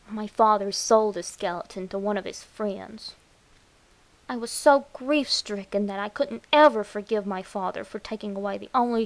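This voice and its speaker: A woman voicing a deranged, lovestruck young woman who tells her morbid horror story in a breathy, dramatic way. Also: medium pitch, lightly acted. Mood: hushed, wistful. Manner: demented, breathy, dramatic, slow with whispered words. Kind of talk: first-person horror story narration.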